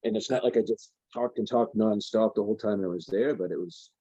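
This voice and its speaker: A man's voice: lowish voice, a little fast, mellow, husky, dry recording, dull audio. A man, a musician, talking as a podcast guest in a candid, plain-spoken casual chat.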